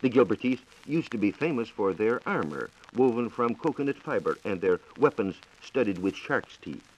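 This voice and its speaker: An American man giving a scripted 1940s radio science talk as a reporter, in a measured, formal lecturing manner. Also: on the low side, expressive, highs cut off. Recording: 1940s radio broadcast recording.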